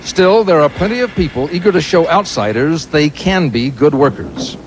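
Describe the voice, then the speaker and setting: A man reporting from the field as a news correspondent in a steady, clear reporting voice. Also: fairly high pitch, normal speed, slight background noise, rough recording.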